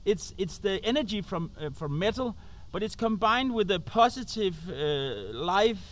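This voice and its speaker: High-voiced Danish man, halting English. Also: sing-song. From Denmark. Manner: halting, searching for English words. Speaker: host and musician. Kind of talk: informal talk to camera. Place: outdoors on an open roadside.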